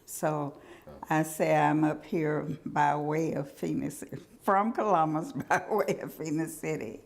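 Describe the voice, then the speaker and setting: Woman from georgia telling her story slow, low and a bit gravelly. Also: steady tone. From Georgia, US South. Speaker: oral history interviewee. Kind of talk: personal recollection.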